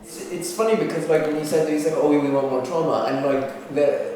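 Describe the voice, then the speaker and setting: A man's voice: on the high side, fairly even intonation, brisk, dark tone, a little hiss or hum. Thoughtful man from Egypt, distant and echoey. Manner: thoughtful, agreeing, frequent hums and fillers. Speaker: theatre director. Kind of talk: casual conversation. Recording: very echoey room heard from far off.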